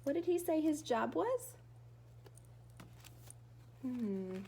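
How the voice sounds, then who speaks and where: A woman reads a storybook to children in the manner of a teacher. Her voice is high and swoops a great deal. She is dramatic and coaxing, with questions for her young listeners. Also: very animated, drawn-out words, mellow, a little hiss or hum. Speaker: teacher reading aloud to children. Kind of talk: expressive storybook read-aloud with prompting questions.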